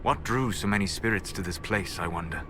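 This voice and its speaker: Man as low-pitched, musing scholarly mage. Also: noisy background, close to an everyday voice. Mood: pensive. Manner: musing, precise, measured. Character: thoughtful scholarly male mage, calm and curious. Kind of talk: video game voice acting.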